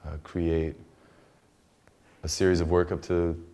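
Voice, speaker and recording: An American man casually showing people around as a workshop member, speaking in a halting, hesitant way. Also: low-pitched, level tone, warm tone. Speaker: community workshop member giving a tour. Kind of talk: casual informal explanation.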